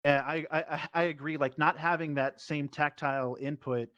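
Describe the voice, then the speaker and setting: A man's voice: a little high, steady tone, moderate pace, a bit bright, no echo, lo-fi sound. A man speaking as a panelist in a casual discussion, feeling out his thoughts with some repeated words. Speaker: panelist, VR theatre performer. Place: online VR voice chat.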